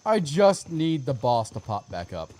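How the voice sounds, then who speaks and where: A man's voice: mid-range voice, big pitch swings, a little slow, dark tone. A man streaming a video game, giving casual commentary and chatting with viewers, sometimes muttering to himself. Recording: clear background game ambience noise.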